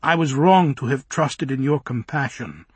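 A man's voice: medium pitch, normal speed, breathy edge, dry recording, natural voice. A man voicing an earnest young male student who speaks stiffly and formally. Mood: wounded.

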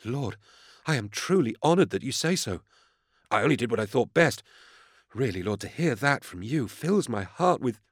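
A man's voice: medium pitch, animated, normal speed, husky, no echo, clean studio sound, pitched above the performer's own voice. A man voicing an eager, earnest young inquisitor in an audiobook, with a gushing, deferential and hesitant manner. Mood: gushing, reverent. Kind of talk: audiobook character dialogue.

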